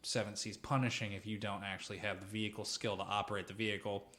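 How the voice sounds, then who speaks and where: A man co-hosting a casual tabletop gaming chat, rambling through anecdotes with frequent swearing and an animated storytelling manner. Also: fairly low pitch, fast talker. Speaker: podcast co-host. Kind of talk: casual chat about tabletop role-playing.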